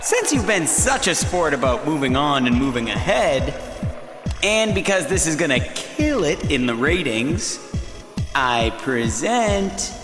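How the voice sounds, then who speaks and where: Swooping, flamboyant show host, echoey. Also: male voice, on the high side, big pitch swings. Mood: showman excitement. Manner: showy, drawn-out announcer delivery with dramatic swoops. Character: flamboyant, scheming reality show host and producer. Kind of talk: video game voice acting. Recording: echoey hall reverb with music behind.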